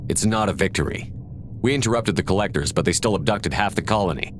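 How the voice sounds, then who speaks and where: An actor playing a blunt, steadfast space commander in a video game drama. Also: male voice, lowish voice, expressive, quick pace, background noise, natural voice. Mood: terse, guarded.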